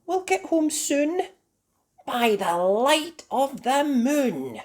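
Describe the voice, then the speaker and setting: A storyteller voicing a small, innocent child in a children's fairy tale, thin and drawn-out. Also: sits high, sing-song, a little mellow, voice pushed up, full character voice. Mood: reassuring.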